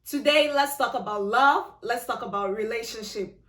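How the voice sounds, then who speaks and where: A woman hosting an online interview, greeting guests and asking measured questions. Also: on the high side, a bit bright. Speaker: interview host. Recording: echoey room with faint music.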